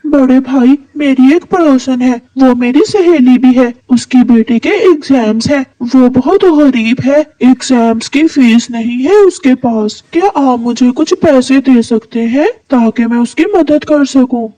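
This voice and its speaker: Lady doing a nice parrot friend in a kids cartoon, kindly, bit pleading, higher pitch. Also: unhurried, hardly any pauses, warm tone, no echo, recorded from a distance, dull audio. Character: kind, helpful female parrot neighbour and friend. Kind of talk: cartoon moral story voice acting.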